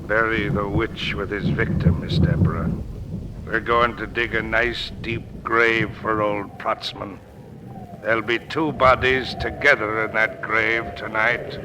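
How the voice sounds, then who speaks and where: An actor playing a grim, folksy leader of a country lynch mob, commanding and menacing in old radio drama style. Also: male voice, on the low side, steady tone, a little slow. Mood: grimly vengeful. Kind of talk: 1940s radio acting. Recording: old radio recording, background noise.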